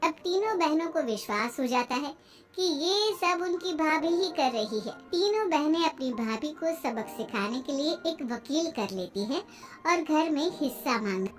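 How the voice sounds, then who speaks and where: Female storyteller for cartoon tales: very high voice, expressive delivery, faint music underneath. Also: unhurried. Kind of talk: scripted cartoon story narration.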